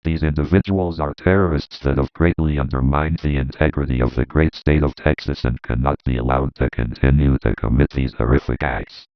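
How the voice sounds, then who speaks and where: A dull, band-limited broadcast of an actor as a stern government official with an extremely deep, smooth male voice. He delivers a formal emergency statement in measured, authoritative phrases. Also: steady tone, dry recording. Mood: stern, grave. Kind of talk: scripted emergency broadcast announcement.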